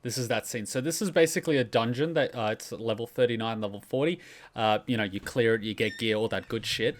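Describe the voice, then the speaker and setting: Guy explaining game stuff casually, average pitch, informal and a bit sweary. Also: quick pace. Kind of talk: casual chat about video games.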